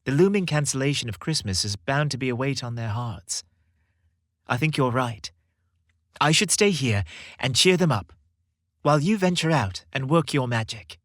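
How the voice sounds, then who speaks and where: Man playing a gentle, supportive young boyfriend. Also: medium pitch, expressive, moderate pace, no room sound, clean studio sound, natural voice. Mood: reassuring.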